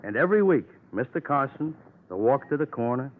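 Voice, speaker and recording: Actor as impatient, fussy radio-drama grocer. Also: male voice, medium pitch, big pitch swings, fairly quick, natural voice. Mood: insistent, suspicious. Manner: clipped, repetitive, protesting. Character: impatient neighborhood grocer, plain-spoken and fussily exact. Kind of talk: 1950s radio crime drama acting. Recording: muffled, noisy old radio recording.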